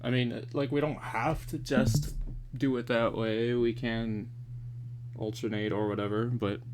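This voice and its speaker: A man's voice: mid-range voice, fairly even intonation, unhurried, background noise. A man organizing a fanfic readalong over voice chat, hesitant and easygoing. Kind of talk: casual group voice chat.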